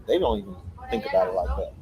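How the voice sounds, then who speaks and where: A man co-hosting a casual livestream outdoors, relaxed, giving advice and answering viewer questions. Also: deep voice, fast, lo-fi sound, a crowd in the background. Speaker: livestream co-host.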